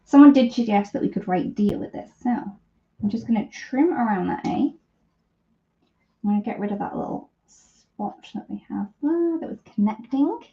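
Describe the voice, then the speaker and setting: A woman's voice: medium pitch, expressive, moderate pace, dark tone, highs cut off, slight background noise. A woman hosting a live craft tutorial in casual chat, thinking aloud as she works, with a self-deprecating streak. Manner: chatty, thinking aloud while working. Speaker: host of a live papercraft demonstration.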